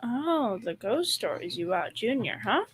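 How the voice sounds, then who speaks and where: A young female performer playing a calm, gossipy, caring wife in a school old-time radio comedy. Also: a little high, measured pace, a little hiss or hum. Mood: gently curious. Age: young teen.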